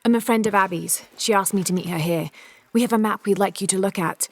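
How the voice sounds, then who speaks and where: An actress playing a composed, curious young archaeologist adventurer in a video game, speaking in a measured, polite, earnest way. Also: female voice, medium pitch, dry recording, lightly acted. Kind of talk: video game cutscene acting.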